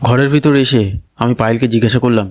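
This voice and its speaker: A man's voice: mid-range voice, fairly even intonation, quick pace. A man narrating a scripted first-person story. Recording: loud music bed behind voice. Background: loud music.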